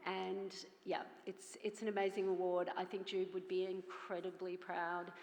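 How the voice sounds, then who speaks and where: A woman's voice: fairly low pitch, little pitch movement, moderate pace, a little mellow. A woman presenting an award at a conference in an informal, earnest, slightly hesitant way, recorded in a hall. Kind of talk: informal spoken introduction. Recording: echoey hall with long reverb.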